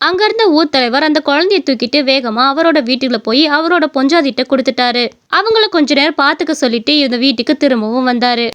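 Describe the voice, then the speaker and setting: High, quick-talking woman storyteller. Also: a bit bright. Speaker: story narrator. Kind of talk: scripted narration for cartoon story.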